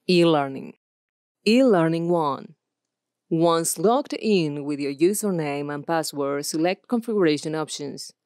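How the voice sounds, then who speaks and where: Low-pitched Argentine woman reading scripts. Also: dry recording, studio quality. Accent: Argentine Spanish. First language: Spanish. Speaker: voice-over artist. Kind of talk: scripted commercial and e-learning narration.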